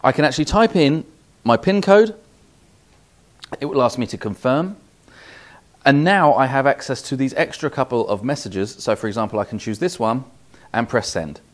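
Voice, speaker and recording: A man's voice: medium pitch, animated, moderate pace, pauses often. A man in his forties with a British accent giving a methodical, step-by-step product demonstration. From United Kingdom. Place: small office demo room, indoors.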